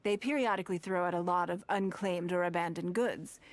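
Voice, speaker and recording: Game lead: actress as shrewd, rich lady, self-possessed and refined, fairly low register, some noise behind. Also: a little fast, lightly acted. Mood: confident, inviting. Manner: composed, assured, refined. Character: poised, shrewd wealthy noblewoman, calm and self-assured. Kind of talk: video game character acting.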